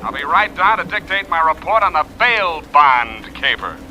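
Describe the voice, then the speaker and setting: An actor playing a wisecracking, impatient private detective with a brusque, wry manner in a 1940s radio noir drama. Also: male voice, on the high side, very animated, moderate pace. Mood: wry impatience. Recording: dull, noisy old radio recording.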